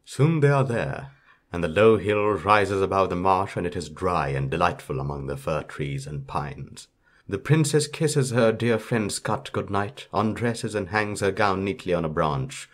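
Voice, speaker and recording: A man's voice: low-pitched, lively intonation, unhurried. A man reading a fairytale aloud as a storyteller, in scripted narration.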